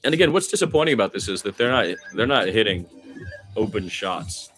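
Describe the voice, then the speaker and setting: A man with a low voice works as a basketball play-by-play commentator over music. He calls the game off the cuff and adds his own opinionated, reflective commentary. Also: very animated, brisk, a bit bright.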